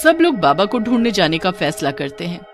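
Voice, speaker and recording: Cartoon horror narrator, scripted storytelling, middle pitch, clear background music. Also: sing-song, a little fast.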